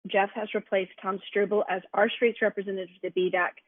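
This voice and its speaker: Hoarse woman chairing a conference call. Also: medium pitch, flat delivery, a little fast, dry recording. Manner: organized, sets ground rules. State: slightly hoarse from allergies. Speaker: committee chair. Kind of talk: formal meeting opening. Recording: tinny conference call line.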